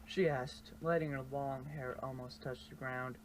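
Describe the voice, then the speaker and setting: A man's voice: medium pitch, fairly even intonation, a little slow. A man reading a fan fiction story as narrator in an amateur style, stumbling and correcting himself. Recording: noisy amateur home recording.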